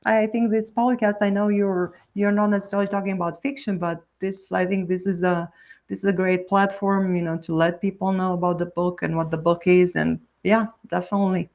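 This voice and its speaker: A woman's voice: fairly even intonation, a little fast, a little mellow. A woman, being her language, answering podcast questions as a book coach in an earnest way with frequent restarts. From Romania. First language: Romanian. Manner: earnest, with frequent restarts and pitch jumps on key words.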